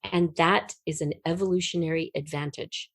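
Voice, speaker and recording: A middle-aged woman giving an earnest, emphatic and expressive explanation as a guest in a conversational interview. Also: low voice, moderate pace, no room sound, poor audio. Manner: earnest, emphatic, expressive storytelling with illustrative metaphors. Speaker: podcast guest, writer.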